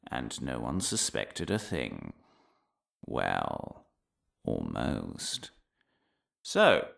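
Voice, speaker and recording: Guy playing pompous nutty professor giving a paranoid lecture, swoops all over, creaky low voice, audio drama. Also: heavily acted. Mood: conspiratorial, then smugly triumphant. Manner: dramatic pauses, emphatic, conspiratorial, swings between hushed and declaiming. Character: pompous, paranoid crackpot male professor delivering absurd revelations. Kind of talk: theatrical comic monologue, audio drama lecture.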